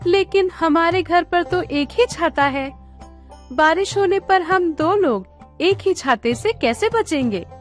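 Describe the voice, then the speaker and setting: Woman acting a very high-voiced dutiful young wife. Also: animated, slow pace, soft background music, heavily acted. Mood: meek. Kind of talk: Hindi animated moral story dialogue.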